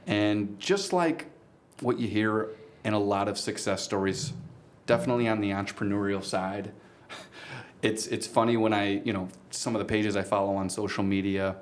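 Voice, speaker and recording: A man co-hosting a podcast in a conversational way, speaking in wordy, measured sentences with deliberate pauses. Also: lowish voice. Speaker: podcast co-host and golf business co-founder.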